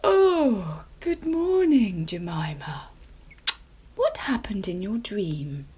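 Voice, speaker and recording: A woman playing a gentle mother pumpkin. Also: mid-range voice, big pitch swings, takes it slowly, husky, exaggerated voice.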